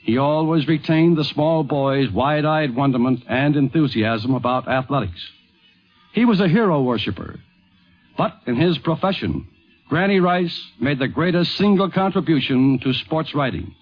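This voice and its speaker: A man's voice: fairly high pitch, lively intonation, moderate pace, faint music behind. A man narrating a scripted radio documentary in a 1950s broadcast style. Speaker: narrator and host. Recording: dull vintage 1950s radio recording.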